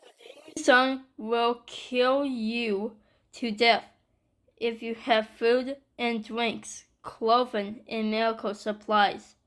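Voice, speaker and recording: A woman reading a scripted emergency alert announcement in a stilted, deliberate manner. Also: fairly high pitch, steady tone, poor audio.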